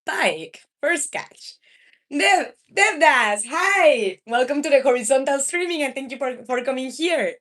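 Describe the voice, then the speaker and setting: A woman's voice: high voice, animated, a little slow, a bit bright. A woman in her thirties from Mexico, a native Spanish speaker with a Mexican accent, chatting casually with viewers as a livestream host. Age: 30s. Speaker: video game live streamer.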